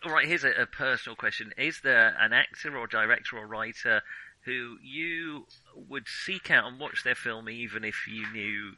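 Bright, forceful man podcasting. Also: mid-range voice, normal speed, rough recording. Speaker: podcast co-host. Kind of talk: casual film discussion.

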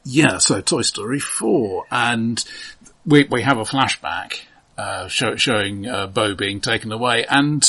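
A man's voice: medium pitch, unhurried, pushed and bright, slightly rough. A man co-hosting a casual film discussion, hesitant, often stammering as he starts a thought. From Europe. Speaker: podcast co-host.

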